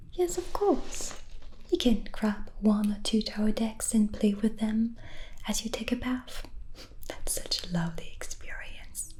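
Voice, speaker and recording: A woman playing a gentle, hospitable witch in an ASMR roleplay, speaking in a breathy, soothing whisper. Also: a little high, poor audio. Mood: cozy, welcoming. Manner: slow, deliberate, soothing. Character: gentle, hospitable witch hosting a guest overnight. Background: music.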